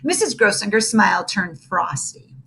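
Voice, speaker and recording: A woman reading a children's book aloud as storyteller, with an expressive, theatrical delivery. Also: mid-range voice, normal speed. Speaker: story reader.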